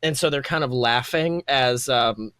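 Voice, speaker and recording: A man's voice: medium pitch, measured pace, fairly bright tone, no room sound, clean studio sound. A man improvising narration as game master in a tabletop roleplaying game, in an informal, meandering, slangy storytelling way.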